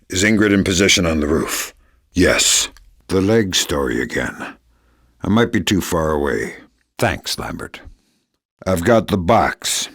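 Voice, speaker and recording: A grizzled veteran secret agent from a video game, with an extremely low, raspy voice. He is middle-aged and sardonic, delivering threats and dry wit in the same deadpan way. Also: heavily acted. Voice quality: gravelly, husky. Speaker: video game lead character. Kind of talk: dramatic video game acting.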